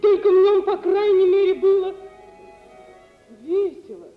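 An actress playing a dreamy, restless young bride-to-be in a theatrical, yearning old radio drama style. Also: female voice, extremely high pitch, unhurried. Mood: hurt, defiant. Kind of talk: 1950s radio theatre acting. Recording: muffled old radio recording, echoey hall, music.

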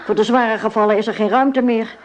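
Actress as a stern, weary old matriarch. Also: female voice, on the high side, fairly even intonation, fairly quick, natural voice, low-quality recording. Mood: grave, bitter. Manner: grave, curt, world-weary. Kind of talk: radio play acting.

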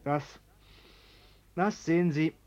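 An actor playing a genial, nervous middle-aged householder in a German radio play, polite, hesitant and eager to placate. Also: male voice, a little high, slow, darkish tone, highs cut off. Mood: jovially persuasive.

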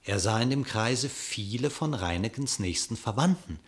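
A man's voice: mid-range voice, expressive, normal speed. A man narrating a classic radio drama in scripted verse.

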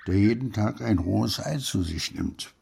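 An actor playing a gruff old grandfather who tells fanciful tales in an audiobook, with a gravelly voice. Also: on the low side, expressive, unhurried, darkish tone, slight background noise, full character voice. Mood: playful, storytelling. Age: older. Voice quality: gravelly, husky, creaky. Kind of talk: acted audiobook dialogue.

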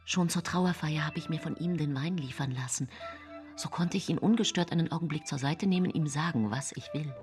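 Casting: actress for scheming young-century widow, radio drama monologue; low register, hushed confiding delivery, sensual and pleased with herself, clear music bed. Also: female voice, brisk, lightly acted. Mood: eager longing. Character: scheming early-19th-century German widow, sensual and self-satisfied. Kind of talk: radio drama monologue, intimate first-person narration.

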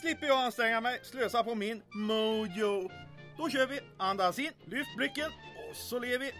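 A man's voice: extremely high pitch, bright, forceful tone, faint music behind. An actor playing an affected young male art photographer, hesitant and dreamily directing, in an old-time radio comedy drama. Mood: sulky.

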